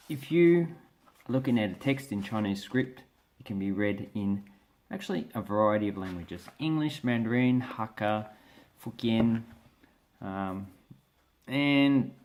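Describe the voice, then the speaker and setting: An Australian man giving a casual spoken lesson to camera, talking in a relaxed, hesitant way with many fillers and restarts as he shows pages from a book. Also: mid-range voice. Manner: explains by showing pages from a book. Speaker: language vlogger.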